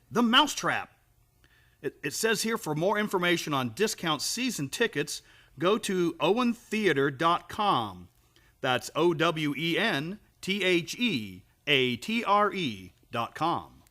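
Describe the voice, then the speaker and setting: A man's voice: sits high, pushed and bright. A man performing a scripted two-person radio advertisement for a theater. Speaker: radio promo announcer.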